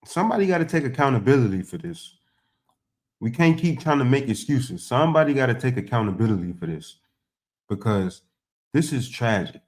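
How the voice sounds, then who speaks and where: A man's voice: medium pitch, sing-song, a little fast. A man with a mid-range voice reacts casually to news coverage. He is blunt and colloquial, with an exasperated way of repeating his points. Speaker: commentator reacting to news footage.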